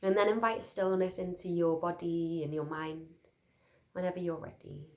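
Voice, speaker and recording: A woman leading a guided sleep meditation, speaking soothingly and gently in short, unhurried phrases with pauses. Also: on the low side, steady tone.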